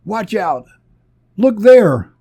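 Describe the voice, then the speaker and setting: A man's voice: sits high, big pitch swings, very slow, mellow, breathy edge, pitched above the performer's own voice, full character voice. A man voicing a commanding, wary space captain who gives urgent orders and warnings in an adventure story. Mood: urgent, alarmed.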